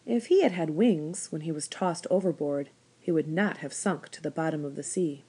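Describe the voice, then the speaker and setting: Low-voiced woman reading a storybook. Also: normal speed, warm tone, slightly rough. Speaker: storybook reader, school staff member. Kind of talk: expressive scripted story narration.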